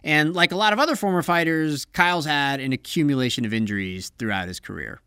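A man's voice: a little high, quick pace, clear, resonant tone, no room sound, clean studio sound. A man hosting an economics podcast, chatting casually and enthusiastically about a sport he loves. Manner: enthusiastic, lively. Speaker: podcast host. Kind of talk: casual chat.

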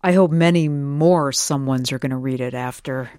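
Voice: medium pitch, moderate pace, dark tone, smooth, dry recording. Thoughtful interviewer in a dry studio sound. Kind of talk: conversational radio interview.

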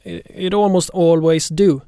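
Male journalist guest, Swedish accent, creaky and slightly high, emphatic interview delivery. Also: fairly even intonation, unhurried, dark tone, no echo, studio quality. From Sweden. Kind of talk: interview talk.

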